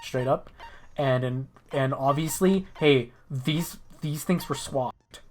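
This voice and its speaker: A man's voice: medium pitch, slow, a little mellow. A man streaming a game and chatting casually with friends, thinking aloud in a rambling, sweary way. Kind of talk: casual gaming chat with friends.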